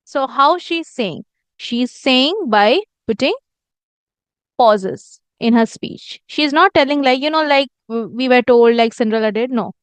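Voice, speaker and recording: A woman with a high, crisp voice teaches spoken communication. She explains step by step with emphatic stress, repeating key phrases. The sound is dry and close, with very little room. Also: measured pace, no echo. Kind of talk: instructional lesson with demonstrated lines.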